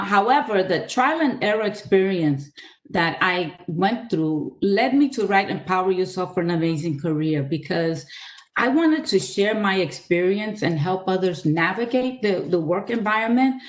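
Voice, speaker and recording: An older woman, from the Dominican Republic by way of New York City, gives a measured career talk over a video call. She speaks earnestly in a mid-pitched voice, with frequent filler sounds. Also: animated. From Dominican Republic, raised in New York City. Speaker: guest speaker, author. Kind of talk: webinar presentation.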